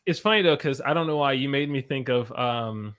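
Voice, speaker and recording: A man's voice: mid-range voice, a little fast, a bit bright. An American man hosting a casual interview, easygoing and encouraging, who often repeats his words. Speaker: host.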